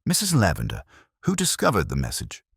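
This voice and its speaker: A man playing a calm, measured police inspector who questions people gently and deliberately in a village mystery story. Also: low-pitched, vocal fry, breathy edge, no echo, clean studio sound, full character voice. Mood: calm, probing.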